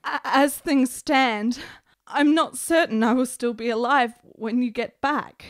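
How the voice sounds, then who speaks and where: A woman's voice: fairly high pitch, unhurried, natural voice. An actress playing a sickly, lovestruck woman in a period radio drama, melodramatic and pleading in florid speech. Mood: fervent, wistful. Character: sickly, lovestruck, desperate woman in 1870s Italy.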